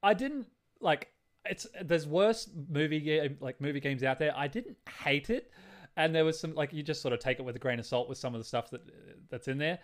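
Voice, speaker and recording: A man's voice: a little high, a little fast. A man co-hosting a casual film discussion podcast, rambling and thinking aloud as he talks. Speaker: podcast co-host.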